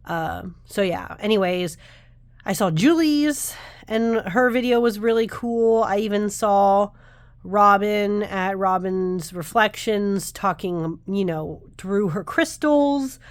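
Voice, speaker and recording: A woman in her thirties talks casually to camera as a tarot vlogger, her voice a little nasal as she gets over the flu. She rambles and interrupts herself as she goes, at a middling pitch. The sound is dry and close, with no room echo. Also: takes it slowly. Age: 30s. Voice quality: slightly nasal. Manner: chatty, rambling, self-interrupting. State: recovering from flu.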